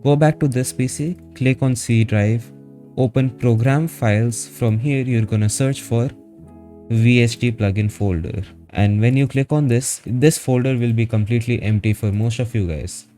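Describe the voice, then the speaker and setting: A man narrating a step-by-step software tutorial in a casual, instructional manner, as a streamer would. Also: mid-range voice, normal speed. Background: background music.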